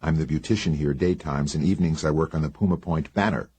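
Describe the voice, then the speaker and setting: A man's voice: very deep voice, fast, mellow, husky, no echo. A man voicing a cheerful, chatty young woman who reports for a small-town paper.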